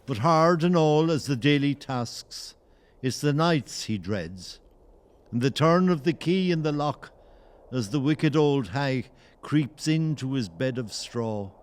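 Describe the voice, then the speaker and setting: A man's voice: mid-range voice, expressive, slow pace. A man playing a solemn storyteller, giving dramatic, lyrical narration in an audio play. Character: solemn storyteller narrating an old Irish tale.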